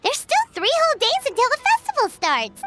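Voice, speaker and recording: A woman voicing a small, childlike floating companion in a video game, chatty and excitable, with chirpy, expressive delivery. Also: extremely high pitch, a little fast, full character voice. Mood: excited. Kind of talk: English video game voice acting. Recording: loud music behind the voice. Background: game music.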